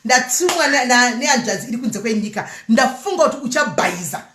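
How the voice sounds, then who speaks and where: A Zimbabwean woman, native in Shona, chats as the host of a live call-in show. Her voice sits a little high. The room around her is clearly echoey, and her style is informal, switching between languages. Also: sing-song, quick pace, fairly bright tone, slight background noise. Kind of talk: casual live prank-call chat, mixing languages.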